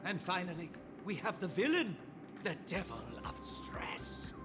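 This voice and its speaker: Smooth-talking flattering director. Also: male voice, sits high, a little slow. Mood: persuasive. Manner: polished, persuasive, flattering. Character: smooth, flattering male film director, showman. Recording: game audio with loud background music.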